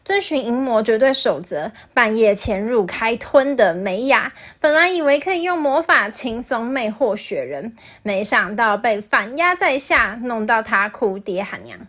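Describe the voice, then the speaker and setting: A woman's voice: on the high side, expressive, unhurried, few pauses, a bit bright. A woman narrating a scripted review as the host of a video.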